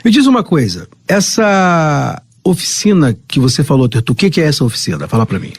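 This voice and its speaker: A man's voice: a little high, big pitch swings, fairly quick, husky, no echo, studio quality. A man hosting an informal radio talk show, chatty and friendly, asking his guests to explain terms.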